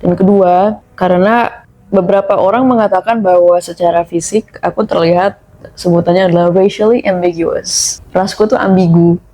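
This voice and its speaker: A young woman in a casual podcast chat as a guest who teaches her language, speaking thoughtfully and pausing to pick her words. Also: on the low side, fairly even intonation, warm tone, low-quality recording. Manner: thoughtful, measured, with frequent pauses and restarts.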